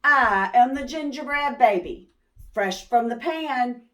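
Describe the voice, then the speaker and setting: A woman's voice: a little high, slow pace, a bit bright. A woman voicing a boastful little gingerbread baby boy from a storybook in a singsong, taunting manner. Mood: gleeful taunting.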